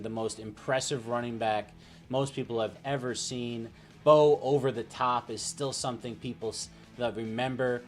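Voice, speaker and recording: A man hosting a panel show, talking sports in a casual debate style. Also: mid-range voice.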